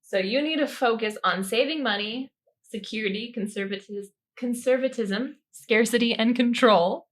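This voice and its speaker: High-voiced woman casually reading tarot cards. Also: normal speed. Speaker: podcast co-host giving a tarot reading. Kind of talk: casual chat, reading card meanings aloud.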